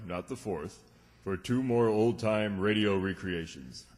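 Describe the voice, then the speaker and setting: A man announcing credits genially from a script in old-time radio style to a live audience. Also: on the low side. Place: township hall stage. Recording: echoey hall, clear background noise.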